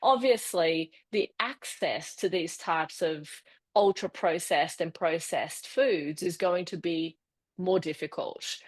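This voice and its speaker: A woman's voice: on the low side, slow. A middle-aged woman from Brazil with an Australian accent, a fertility specialist answering at length in a podcast interview, explaining thoughtfully with some restarts.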